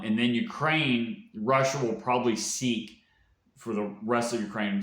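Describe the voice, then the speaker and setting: A man giving an unscripted political commentary monologue in a halting, rambling way, as if thinking aloud. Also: lowish voice. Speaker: solo commentator. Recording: echoey, reverberant room.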